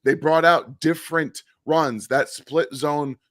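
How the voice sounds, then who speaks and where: A man's voice: on the high side, fairly even intonation, slow, no room sound, studio quality. A man co-hosting a sports analysis show while sick and losing his voice, blunt and repeating his key points. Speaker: co-host analyst. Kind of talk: post-game sports analysis.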